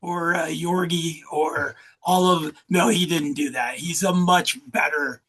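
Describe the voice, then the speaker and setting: A man's voice: a little high, steady tone, slow pace, bright, forceful tone. Upbeat man hosting an interview. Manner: upbeat, joking, many fillers. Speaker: host. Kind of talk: casual interview chat. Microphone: video call.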